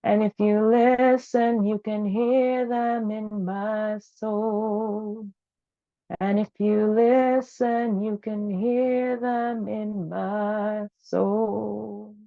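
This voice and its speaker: A woman sings a slow, repetitive chant, drawing out each line gently like a lullaby. The recording is dry and narrow like a phone line, with faint music underneath. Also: medium pitch, little pitch movement, creaky, no echo.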